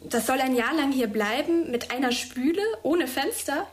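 A woman's voice: sits high, normal speed, lightly acted. A young woman playing a timid, frightened but candid teenage schoolgirl in a naturalistic radio drama, hesitant and plain-spoken. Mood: questioning.

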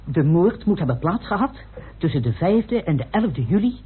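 An actor playing a methodical researcher explaining evidence precisely in a Dutch radio play. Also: male voice, high voice, sing-song, moderate pace, a little hiss or hum. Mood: methodical, earnest. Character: Peacock, methodical, meticulous male researcher laying out evidence. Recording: muffled, band-limited old radio recording.

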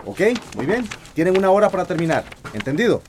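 A man's voice: sits high, sing-song, quick pace. An actor playing a strict, unbending male teacher in a radio drama, with noise around him. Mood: firm.

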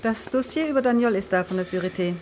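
An actress playing a brisk, wry police colleague in a radio crime drama. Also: female voice, a little high, lively intonation, over music, natural voice. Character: brisk, dry-witted female police colleague presenting a dossier.

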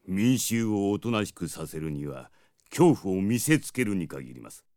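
Villainous middle-aged commander: low voice with wide swings, pompous, arrogant, fantasy radio drama. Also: male voice, big pitch swings, moderate pace, dark tone, clean tone, dry recording, studio quality. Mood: smugly obsequious. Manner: pompous, formal. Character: arrogant, cruel middle-aged male castle commander, obsequious to superiors. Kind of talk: Japanese fantasy audio drama acting.

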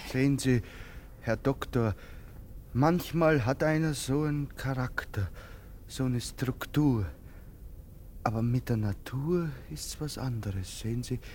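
An actor playing a poor, brooding soldier in a German radio play, humble, haunted and halting in his speech. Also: mid-range voice, darkish tone, slight background noise, close to an everyday voice. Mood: haunted, urgent. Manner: halting, earnest, deferential.

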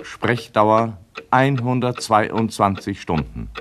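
An impassive official timekeeper in a radio drama. His voice is fairly low and unhurried, formal and factual, over faint noise with a ticking clock. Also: lively intonation, fairly bright tone. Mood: neutral, official. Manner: clipped, formal, matter-of-fact. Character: impassive male timekeeper announcing record clock times. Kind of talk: 1970s German radio play announcement.

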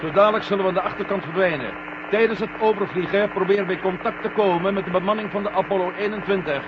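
A man's voice: sits high, fast talker, natural voice. A man playing a firm, cautious astronaut commander in a vintage science fiction radio play. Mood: businesslike, firm. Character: male moon-mission astronaut commander, firm, cautious, sometimes confused. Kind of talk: 1970 Dutch science fiction radio drama acting. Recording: muffled, noisy old radio play recording.

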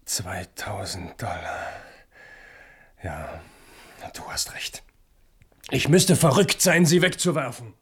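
An actor playing an earnest, wary frontier farmer in a German radio play, speaking in a measured manner. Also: male voice, mid-range voice, big pitch swings, slightly rough, heavily acted. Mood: troubled.

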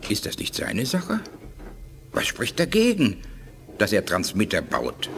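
An actor playing a composed, principled alien elder in a radio drama, measured and questioning. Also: male voice, on the low side, wildly swooping pitch, gravelly, full character voice. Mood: reasoning. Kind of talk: 1980s German radio drama acting. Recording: faint background noise.

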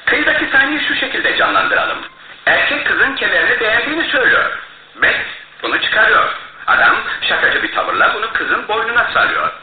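An actor in a radio play as a shrewd, self-assured male detective who explains his case in a theatrical, deliberate way. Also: very high voice, huge pitch swings, slight background noise, heavily acted. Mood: expository, confident. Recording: muffled, roomy radio drama recording.

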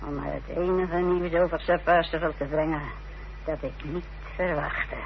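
An actress playing a bitter, vengeful middle-aged woman in a radio play, speaking formally and deliberately. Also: low voice, steady tone, slight background noise. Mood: cold gratitude. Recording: dull, humming old radio drama recording.

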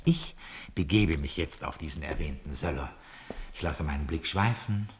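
A man's voice: a bass voice, moderate pace, slight background noise. An actor playing a prim, loyal and pedantic butler in a 1960s radio play, formal and drily deferential as he recounts his memoirs. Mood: ceremonious, wry. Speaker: butler and narrator.

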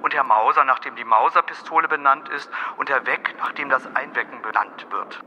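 A man's voice: mid-range voice, a little fast, dull audio, close to an everyday voice. A man reciting scripted lines as one narrator in an audio drama. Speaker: chorus narrator. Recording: long reverb, faint outdoor street noise.

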